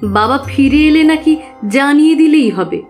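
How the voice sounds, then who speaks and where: High-voiced, earnest young woman. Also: lively intonation, unhurried, darkish tone, faint music behind. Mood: earnest, troubled. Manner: earnest, careful storytelling. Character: earnest, trusting, troubled young woman client. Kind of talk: Bengali audio drama, recounting events.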